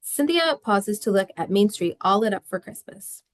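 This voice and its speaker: A woman's voice: medium pitch, lively intonation, normal speed. A woman reading scripted stage directions as narrator of a screenplay table read.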